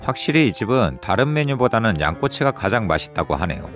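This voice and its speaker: Lively low-voiced man reviewing food. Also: brisk, music in the background. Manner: lively, descriptive, chatty with friends. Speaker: food vlogger. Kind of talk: restaurant review narration. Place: busy barbecue restaurant.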